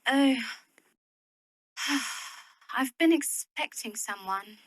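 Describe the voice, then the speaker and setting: An actress playing a proud, practical young Victorian widow in a period audio drama, speaking in a worldly, opinionated way. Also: female voice, high-pitched, animated, drawn-out words, no room sound, heavily acted. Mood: anxious, confiding. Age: young adult.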